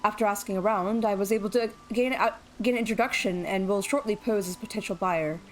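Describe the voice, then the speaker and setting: A woman's voice: medium pitch, a little fast, natural voice. A woman reading a formal young male scholar's game lines aloud, stumbling and restarting over the words. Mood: earnest. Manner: formal, reads with slips and restarts. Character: earnest young male scholar planning a scheme. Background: game music.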